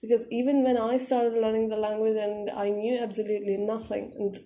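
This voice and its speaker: A woman's voice: a little high, little pitch movement, brisk, mellow. A young woman teaching a lesson, explaining step by step with many fillers. English and Korean are not her first languages.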